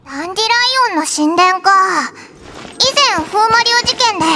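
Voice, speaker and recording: A woman voicing a small, cheeky fairy companion in an anime game, with a squeaky childlike voice and blunt, boyish speech. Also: fairly quick, noisy background. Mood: excited.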